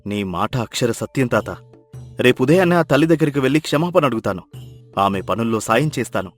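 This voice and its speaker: A man's voice: mid-range voice, lively intonation, quick pace, soft background music, lightly acted. An actor playing an educated young city man, humbled and repentant, speaking earnestly and emphatically in a dramatized moral story. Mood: earnest, grateful.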